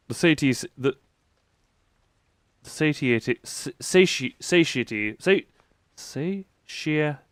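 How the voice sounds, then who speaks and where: A man's voice: medium pitch, fairly bright tone, smooth, dry recording, clean studio sound. A man giving casual, rambling live commentary as he plays a video game, with jokey exclamatory asides. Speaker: live streamer playing a horror game.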